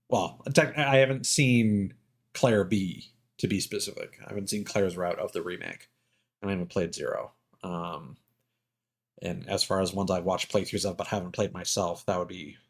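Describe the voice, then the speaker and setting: A man giving casual, rambling and opinionated commentary as a game livestreamer. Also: low voice. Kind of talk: casual gaming stream commentary. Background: music.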